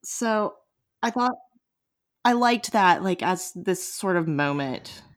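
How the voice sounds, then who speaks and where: A woman's voice: on the low side, animated, slow. A woman co-hosting a podcast, chatting in an enthusiastic, informal way and leaning on "like" a lot. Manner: informal, enthusiastic, says "like" constantly. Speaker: podcast co-host and interviewer. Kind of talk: casual discussion.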